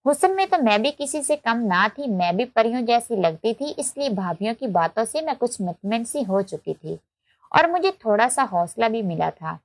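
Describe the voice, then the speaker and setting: Female narrator for scripted emotional fiction, first person, pitched a little high, very dry recording. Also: very animated, normal speed, dry recording. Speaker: story narrator.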